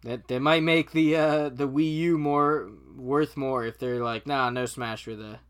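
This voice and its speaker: A man's voice: medium pitch, steady tone, unhurried, clean tone. A man co-hosting a podcast, talking casually and bluntly in an informal, sweary way. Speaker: podcast co-host. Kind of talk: casual chat.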